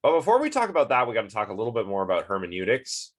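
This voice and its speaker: Low-voiced sniffly man lecturing casually. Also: wildly swooping pitch, very fast. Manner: chatty, rambling, many fillers and restarts. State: sniffly, stuffed up. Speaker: philosophy lecturer.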